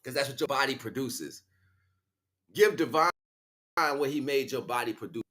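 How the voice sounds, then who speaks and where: A man's voice: medium pitch, lively intonation, normal speed, fairly bright tone. A man livestreaming a casual, rambling spiritual monologue in street slang, often checking in with rhetorical questions. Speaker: livestream host.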